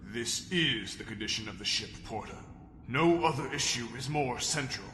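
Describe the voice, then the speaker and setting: A man's voice: medium pitch, lively intonation, moderate pace, bright, forceful tone, a little hiss or hum. A man playing an authoritative, courteous consul, formal and decisive, in a sci-fi drama. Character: authoritative, courteous male consul chairing a meeting. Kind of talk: sci-fi audio drama acting. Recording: echoey hall, clear background ambience.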